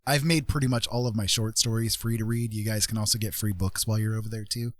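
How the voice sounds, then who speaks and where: Low-pitched man chatting casually on a livestream. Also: fairly even intonation, fast talker, no room sound. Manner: rambling, self-deprecating. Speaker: livestream host, author and artist.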